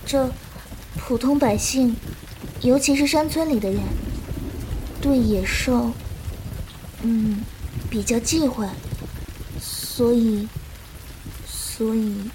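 A woman playing a gentle, compassionate young martial-arts disciple who explains patiently in a Chinese period audio drama. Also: fairly high pitch, slow, long pauses, noisy background. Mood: hesitant.

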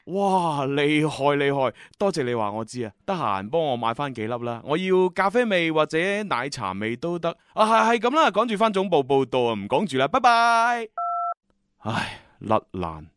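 A man playing a cocky, eager young time-travel agent with animated, exclamatory delivery in a radio drama. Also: on the high side, normal speed, clean tone, no room sound, studio quality. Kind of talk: Cantonese sci-fi radio drama dialogue. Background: music.

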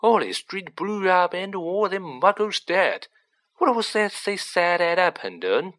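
A storyteller voicing a gossipy, excitable young working-class man who talks colloquially and dramatically, in an audiobook reading. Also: mid-range voice, unhurried, pitched above the performer's own voice. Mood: awed, gossipy. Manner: colloquial, dramatic, dropped grammar, tag questions.

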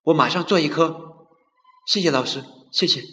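A man's voice: on the high side, moderate pace, pushed and bright, voice pushed up. A man voicing a nervous, apologetic father in a children's storybook. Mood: flustered. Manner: flustered repeated yeses. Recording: reverberant with background music.

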